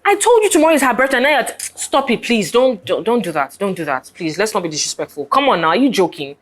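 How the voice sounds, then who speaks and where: A woman playing a lively, bossy young best friend in a screen drama, speaking emphatically. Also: on the low side, quick pace, slightly rough. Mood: annoyed. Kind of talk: naturalistic screen drama acting.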